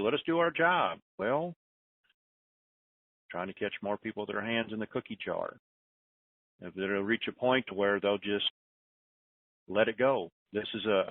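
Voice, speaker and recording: A man's voice: on the low side, no echo. A plain-spoken, folksy man hosting an informal conference call over the telephone. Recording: narrowband tinny phone line.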